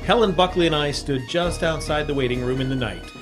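A man's voice: on the high side, fairly quick, loud music behind the voice, natural voice. An actor playing a hard-boiled, sly American freelance newspaperman, with a clipped, wry delivery in an old-time radio drama. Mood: tense narrating. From United States, San Francisco. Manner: clipped, wry, world-weary storytelling. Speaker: lead actor and narrator. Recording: vintage radio broadcast.

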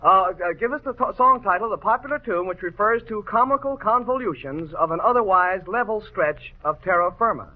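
A man's voice: high-pitched, lively intonation, fairly quick, hardly any pauses. A man hosting an old-time radio quiz show with jovial, wordy, mock-formal banter. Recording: tinny vintage radio broadcast.

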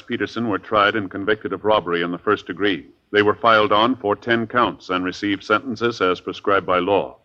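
A man's voice: lowish voice, pushed and bright. A man announcing from a script in a formal, official 1950s radio style, on a dull old broadcast recording. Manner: formal, crisp official delivery.

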